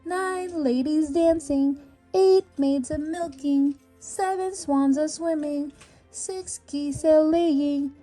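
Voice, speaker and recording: A woman reading a children's Christmas verse aloud in a sing-song, drawn-out, rhythmic way. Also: high-pitched, warm tone. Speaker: children's storybook reader. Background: background music.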